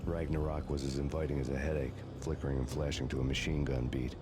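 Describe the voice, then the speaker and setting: An actor playing a brooding, world-weary cop on the run, narrating a noir game in a grim, sardonic deadpan. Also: male voice, very deep voice, fast talker, background noise.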